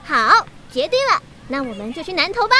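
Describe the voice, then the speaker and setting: A woman's voice: sits high, big pitch swings, a little fast, background noise. A woman playing a plucky, confident and cheeky schoolgirl in a children's audio drama. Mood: decisive.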